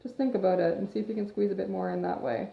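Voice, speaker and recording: A woman's voice: medium pitch, quick pace, creaky. A woman fitness coach chatting through a live video, rambling into tangents and a little sniffly from allergies. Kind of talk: casual chat, advice monologue. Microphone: phone or webcam livestream. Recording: echoey room, dull lo-fi sound.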